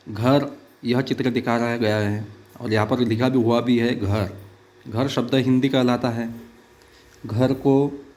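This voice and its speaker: A man teaching a primary school lesson, pausing often with "uh" fillers as he explains each picture. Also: medium pitch, a little mellow. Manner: halting, with many "uh" fillers. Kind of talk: online class lesson.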